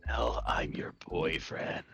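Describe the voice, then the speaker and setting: A man's voice: slow pace, a bit bright, whispered, faint music behind. A teasing, clowning man who jokes around and theatrically reads out game dialogue as a co-player. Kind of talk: joking chat and theatrical line reading.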